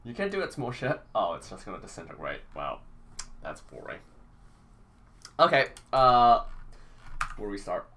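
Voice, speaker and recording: Male organizer, wry and teasing, lively delivery with a sharp bright edge. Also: lowish voice, unhurried, pauses often. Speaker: tournament organizer. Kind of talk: casual game commentary. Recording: faint background noise.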